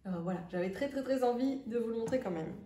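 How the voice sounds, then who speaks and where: A woman with a stuffy nose from a cold, chatting casually as a sewing vlogger and pausing often with fillers. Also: on the high side, animated, warm tone. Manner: chatty, hesitant with many fillers. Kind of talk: casual vlog chat. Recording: roomy, distant from the mic.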